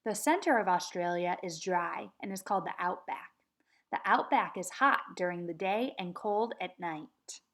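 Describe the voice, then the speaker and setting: A woman teaching kindergarten, reading a nonfiction book aloud and chanting words slowly for the children to repeat. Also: lowish voice. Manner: slow, patient, sing-song chanting of words for children to repeat. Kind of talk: read-aloud lesson for young children.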